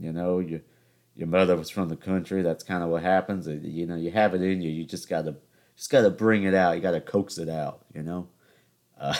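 Southern-raised twenties male host, New York-tinged accent, low pitch, loose self-mocking storyteller. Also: darkish tone. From Charleston, South Carolina. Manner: rambling, joking, self-mocking. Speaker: podcast host. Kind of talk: casual solo podcast storytelling.

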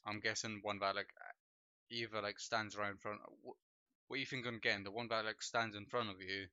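A man's voice: on the low side, a little fast, clean tone, no echo. A young man from Poland, whose first language is Polish, chatting loosely on his livestream and padding his talk with "like" and "bro". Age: young adult. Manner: relaxed, rambling, heavy with fillers like "like" and "bro". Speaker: streamer and podcast host. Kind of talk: casual chat on a livestream. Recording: dull lo-fi home mic sound.

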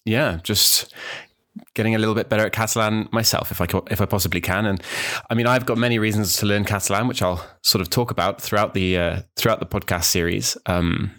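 A young man who grew up in New Zealand, hosting his own podcast in a relaxed, rambling way, with many ums and restarts. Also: fairly low pitch, fairly quick. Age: young adult. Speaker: podcast host, language student. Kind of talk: casual solo podcast monologue.

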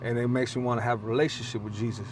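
A raspy-voiced pastor and rapper in his thirties, talking casually and emphatically, peppering his speech with "bro". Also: mid-range voice, flat delivery, rapid-fire, noisy background. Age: 30s. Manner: emphatic, street slang, says "bro" often. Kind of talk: casual conversational interview.

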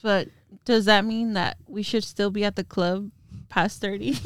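Late-twenties woman, co-host, smooth clean voice, playfully dramatic, keeps pushing questions. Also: medium pitch, fairly even intonation, unhurried, dry recording, studio quality. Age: late 20s. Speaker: podcast co-host. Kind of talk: casual chat.

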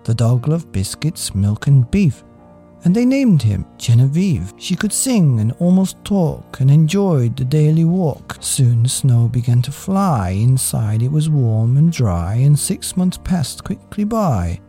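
Low, mellow, expressive woman storyteller. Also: a little slow, soft background music. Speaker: storyteller on a children's story podcast. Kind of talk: scripted storybook narration in rhyme.

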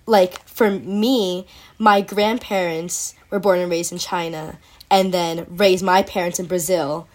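A woman's voice: lowish voice, fairly even intonation, measured pace, a little mellow. A teenage girl hosting a casual podcast chat, talking in a chatty way with many fillers and frequent laughs. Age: teens. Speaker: podcast host.

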